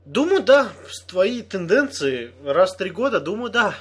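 Husky, lively young man teasing. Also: high-pitched, normal speed. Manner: teasing, laughs often. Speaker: friend in casual podcast chat. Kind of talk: casual chat.

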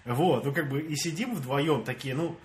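A man's voice: on the high side, moderate pace. A young man from Russia, telling a story in casual chat with friends and acting out the dialogue. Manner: animated storyteller, acts out dialogue, laughs often.

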